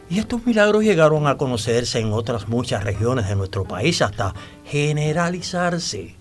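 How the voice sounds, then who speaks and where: A male actor plays a devout man with secrets to tell in a radio drama. His pitch moves in lively swings as he recounts things deliberately and dramatically, pausing and hesitating. Faint music sits behind the voice. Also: close to an everyday voice. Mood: reverent, eager. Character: devout, talkative male storyteller revealing old secrets.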